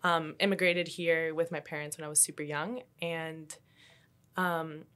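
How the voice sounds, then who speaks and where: Low-voiced young woman, thoughtful. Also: flat delivery, sharp tone. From Colombia. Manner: thoughtful, measured, frequent "you know". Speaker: podcast host. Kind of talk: casual podcast conversation.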